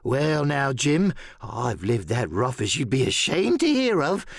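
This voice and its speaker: A man voicing an excitable, half-crazed old male sailor marooned on an island, rambling in old sailor's slang. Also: mid-range voice, a little slow, creaky, no room sound, studio quality, exaggerated voice. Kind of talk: audiobook character voice.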